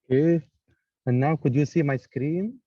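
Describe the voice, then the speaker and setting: A man's voice: fairly high pitch, unhurried, dark tone, husky, dry recording. A man from Tunisia presenting his project on a video call from a hotel room, hesitant and full of fillers and restarts. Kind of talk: online conference pitch. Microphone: video call from a tablet. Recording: dry, thin phone-like call audio.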